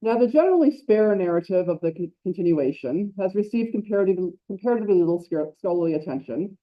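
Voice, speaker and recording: A woman at a conference, reading her academic paper aloud with quick self-correcting asides and a loud voice. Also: fairly low pitch, lively intonation, dull audio. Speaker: conference speaker. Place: lecture hall.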